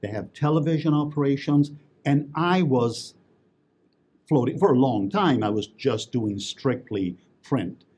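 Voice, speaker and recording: A man's voice: medium pitch, lively intonation, unhurried, a little mellow, slightly rough. A man talking as an interview guest, telling stories in a chatty way with many fillers and restarts. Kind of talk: casual interview conversation.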